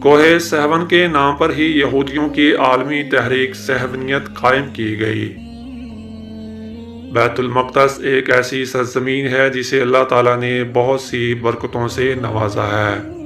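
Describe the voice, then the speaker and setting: A man giving a scripted religious history narration in a formal, measured manner with ornate literary phrasing. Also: medium pitch, fairly even intonation, fairly bright tone. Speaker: narrator and presenter of a religious history video series. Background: background music.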